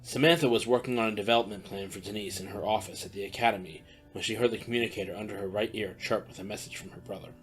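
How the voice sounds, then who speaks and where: A man narrating an audio drama in an even storytelling way. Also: on the low side, rapid-fire, few pauses. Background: faint background music.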